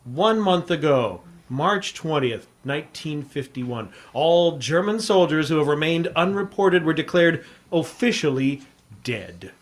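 An actor playing a tough, sardonic American reporter in a 1950s radio drama, with wry, clipped delivery. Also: male voice, on the high side, sing-song, a little slow. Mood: sardonic, assured. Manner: hard-boiled, clipped, wry. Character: tough, sardonic American newspaperman hero and narrator.

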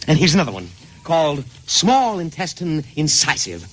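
Man, eccentric proud painter, high-pitched, lively swoops, chatty, radio comedy. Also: moderate pace, heavily acted. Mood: eager, oblivious. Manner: breezy, chatty, proud of his own work. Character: eccentric struggling painter, boastful, oblivious, sly, eager to show off. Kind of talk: old-time radio comedy acting.

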